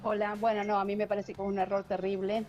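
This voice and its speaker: A woman's voice: mid-range voice, flat delivery, fast, darkish tone, muffled recording, soft background music. A woman scientist joining a live TV news interview remotely, blunt and using filler words. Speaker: guest scientist.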